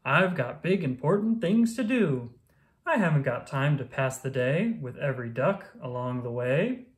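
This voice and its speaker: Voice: mid-range voice, lively intonation, normal speed, close to an everyday voice. A storybook reader voicing a big, brusque, self-important dump truck in a blustering, emphatic way.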